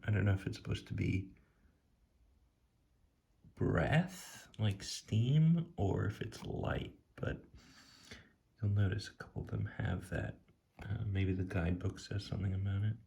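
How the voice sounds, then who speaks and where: Quiet guy doing asmr review, really heavy vocal fry, takes his time, casual and hushed. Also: fairly low pitch, slight background noise. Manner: hushed, sometimes dropping to a whisper; casual, laid-back musing. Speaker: tarot deck reviewer. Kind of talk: soft-spoken ASMR, impromptu commentary.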